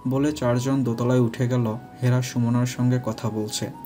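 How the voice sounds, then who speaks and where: Male narrator for scripted audio fiction, dark warm tone, little pitch movement, light music underneath. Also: little pitch movement, moderate pace. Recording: faint music behind.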